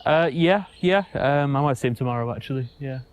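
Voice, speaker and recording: A man's voice: medium pitch, fairly quick, smooth, close to an everyday voice. An actor playing an awkward, polite young male student in film dialogue, giving brief, hesitant answers. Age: young adult.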